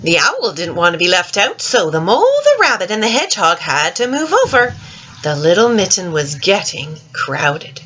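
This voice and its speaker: A woman reads a children's storybook aloud in an expressive, dramatic way, speaking directly to young listeners, with a husky voice. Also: fairly low pitch, normal speed, highs cut off, slight background noise. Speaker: storyteller reading a picture book to children.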